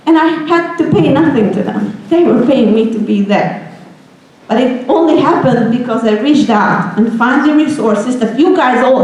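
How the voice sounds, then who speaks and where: A woman's voice: high-pitched, darkish tone. A woman in her thirties from Hungary with a Hungarian accent, giving an earnest motivational talk to students and asking them questions. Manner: earnest, asks the audience questions. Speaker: guest speaker. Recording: echoey room recording.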